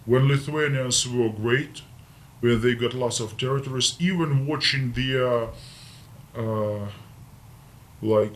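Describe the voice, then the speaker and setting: A man with a Ukrainian accent, a native Russian speaker, talking in an interview and often pausing to search for words. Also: mid-range voice, a little hiss or hum. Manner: halting, searching for words with many fillers. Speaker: interviewee, marksman. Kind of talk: casual interview talk.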